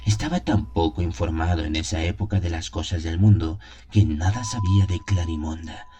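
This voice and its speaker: A man's voice: low voice, normal speed, warm tone, slight background noise. A man narrating a gothic tale in the first person as a scripted audio drama, solemn and theatrical in his storytelling. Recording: music behind the voice. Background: music.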